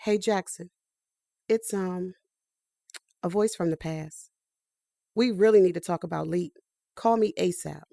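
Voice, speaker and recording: An actress playing a driven, indignant engineer recruit in an audio drama, speaking in emphatic, insistent demands. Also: female voice, on the low side, measured pace, gravelly, long pauses, dark tone, no echo, studio quality. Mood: hesitant, urgent. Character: Harper, driven, indignant woman engineer recruit, easily exasperated.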